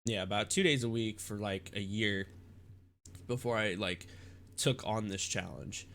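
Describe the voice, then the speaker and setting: A young man with a congested, stuffed-up nose, rambling in a halting casual vlog about his streaming. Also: fairly low pitch, a bit bright, a little hiss or hum. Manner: rambling, halting with many restarts and fillers. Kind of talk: casual vlog talk to camera.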